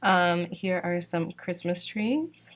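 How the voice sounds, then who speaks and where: A young woman talks casually and unhurriedly, filling her sentences with "like" and "um." Her voice sits a little low and sounds a bit worn, as if she has been losing it lately. She is from Georgia. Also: slow pace. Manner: chatty, fillers like "like" and "um". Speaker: sticker shop owner presenting new releases. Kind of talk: casual product walkthrough.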